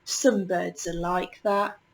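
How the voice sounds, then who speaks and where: A woman reading a children's picture book aloud as a storyteller, measured and with deliberate pauses. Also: on the low side, steady tone, creaky.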